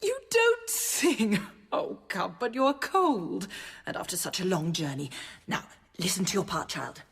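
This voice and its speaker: An actress playing an effusive, fussing older senior nun in a radio drama, gushing and drawing out words. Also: female voice, mid-range voice, lively intonation, gravelly. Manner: gushing, drawn-out, swooping. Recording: echoey hall, distant.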